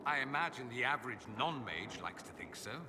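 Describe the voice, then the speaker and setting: An actor playing an urbane, witty, self-assured aristocrat mage in a video game, articulate, theatrical and sardonic. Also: male voice, mid-range voice, lively intonation, fairly quick, lightly acted. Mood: wry, explanatory. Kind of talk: video game dialogue acting. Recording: echoey hall with ambient noise.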